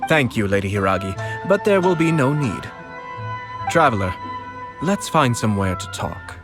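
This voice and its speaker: A young man voicing a calm, courteous nobleman in a game, speaking in a smooth, measured, polite way. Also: mid-range voice. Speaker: noble clan head. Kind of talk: game voice acting. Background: music.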